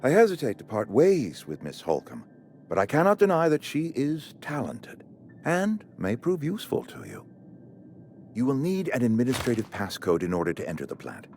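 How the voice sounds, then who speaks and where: An actor playing a pompous, courteous company administrator in a video game, speaking in a formal, wordy, officious way. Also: male voice, medium pitch, huge pitch swings, moderate pace, darkish tone, slight background noise. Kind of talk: video game character acting.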